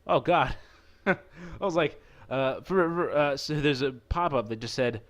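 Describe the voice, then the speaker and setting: A man's voice: mid-range voice, measured pace, fairly bright tone. A man hosting a visual novel playthrough, reading aloud and breaking into casual, jokey, sweary asides. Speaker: let's play host.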